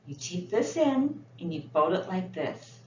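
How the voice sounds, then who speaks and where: A woman's voice: lowish voice, very animated, unhurried. A woman voicing a cheerful, curious and friendly little girl from a children's storybook. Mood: helpful. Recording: roomy, muffled, with faint background noise.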